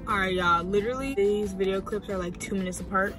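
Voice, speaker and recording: A woman's voice: medium pitch, quick pace, soft background music. A woman in her twenties with an American accent, chatting casually and slangily as a vlogger. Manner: informal, slangy, animated asides. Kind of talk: casual vlog chat. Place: inside a parked car and at home.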